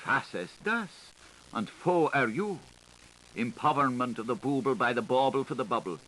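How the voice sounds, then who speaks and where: A man reading literary prose aloud as an audiobook narrator, in a playful, declamatory, theatrical way with deliberate phrasing, on a 1980s recording. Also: medium pitch, animated, pushed and bright, slight background noise, low-quality recording.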